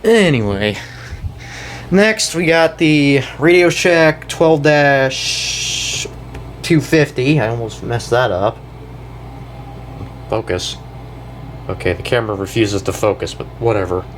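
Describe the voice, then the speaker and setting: A young American man with an ordinary mid-range voice walks through a hobby show-and-tell. He is casual and halting, rambling and correcting himself as he goes. A faint air-conditioning hum sits behind him in the room. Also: medium pitch. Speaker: hobbyist video creator. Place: indoors in a house.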